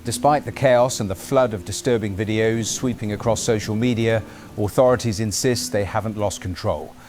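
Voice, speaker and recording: A man playing a composed radio news presenter, with a formal, measured broadcast delivery. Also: lowish voice, darkish tone, natural voice. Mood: composed, grave. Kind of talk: scripted broadcast news narration. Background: music bed.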